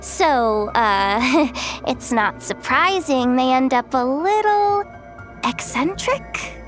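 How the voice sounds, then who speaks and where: A stammering jittery young worker. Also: female voice, sits high, animated, takes it slowly, faint music behind. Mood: nervous. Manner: stammering, gushing. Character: jittery young female shop worker, excitable. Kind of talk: video game dub acting.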